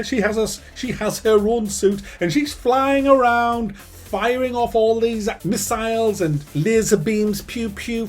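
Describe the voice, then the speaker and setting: A man giving a ranting movie review, animated, sarcastic and full of swearing. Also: sits high.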